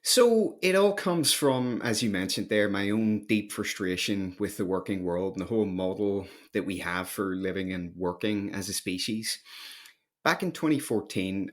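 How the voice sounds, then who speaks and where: Northern Irish man, lowish voice, emphatic. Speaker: podcast guest, company founder. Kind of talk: conversational storytelling in an interview.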